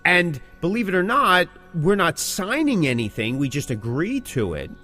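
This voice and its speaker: A man's voice: high-pitched, big pitch swings, normal speed, pushed and bright, soft background music. A man co-hosting a podcast, explaining things in a casual, emphatic, conversational way. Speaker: podcast co-host and working band musician.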